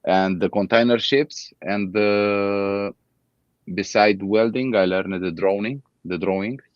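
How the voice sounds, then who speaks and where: A man in his thirties from Romania with a Romanian accent, speaking English as a second language in a casual interview, halting and searching for words. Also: on the low side, fairly even intonation, clear, resonant tone, no room sound, dull audio. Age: 30s. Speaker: guest.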